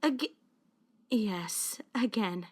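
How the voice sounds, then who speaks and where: A woman playing a protective high school club leader who is caring and secretly anxious, in a fan-made visual novel dub. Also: lively intonation, extremely slow, darkish tone, husky, exaggerated voice. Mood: relieved, concerned.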